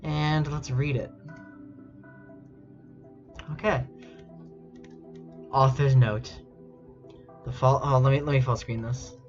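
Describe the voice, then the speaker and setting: A man's voice: medium pitch, steady tone, takes it slowly, long pauses, a little mellow. A man streaming casually and reading fan fiction aloud in a mock-dramatic, amused and incredulous way. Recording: dull lo-fi mic with music behind. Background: background music.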